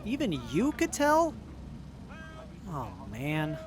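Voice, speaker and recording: A man's voice: fairly high pitch, wildly swooping pitch, takes it slowly, heavily acted. A man acts a lovestruck young tinkerer in a post-apocalyptic radio play. He is flustered and earnest, tripping over his words, with a voice that moves up and down a great deal. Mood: flustered, anxious. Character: nervous lovestruck young male tinkerer with robotic arm. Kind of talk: post-apocalyptic audio drama acting.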